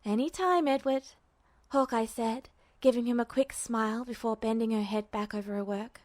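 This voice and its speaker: Woman reading fan fiction, high, dry. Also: fairly even intonation, moderate pace, a little mellow, dry recording. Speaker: podfic reader. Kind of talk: scripted fiction narration.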